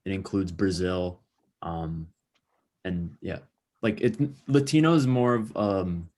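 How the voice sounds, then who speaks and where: A young man with a very low voice talks through ideas on a casual podcast. He circles and restarts, full of "like" and "you know.". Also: slow pace, darkish tone. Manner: thinks aloud with many likes and restarts. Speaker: podcast co-host. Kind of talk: casual discussion.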